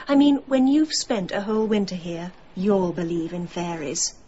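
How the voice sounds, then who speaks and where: An actress playing a bored, catty, discontented wife in a radio drama. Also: female voice, lowish voice, normal speed, dark tone, highs cut off, natural voice. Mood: scornful.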